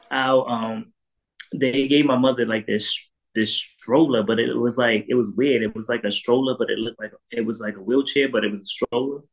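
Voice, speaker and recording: A man in his thirties with a New York accent telling personal stories casually and haltingly, repeating phrases, as a guest on a video call. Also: mid-range voice, rough recording. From Harlem, New York, United States. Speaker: interview guest, photographer. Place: indoor room at home, video call.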